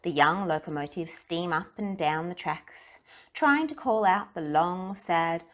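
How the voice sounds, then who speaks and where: Casting: female children's book narrator, lowish voice, expressive read-aloud with deliberate emphasis.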